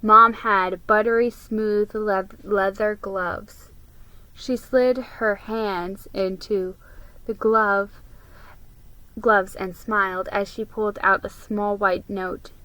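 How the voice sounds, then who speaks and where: Bright-voiced woman reading a story, unhurried. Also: mid-range voice, fairly even intonation, low-quality recording. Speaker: storybook reader. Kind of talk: dramatic reading of a children's story.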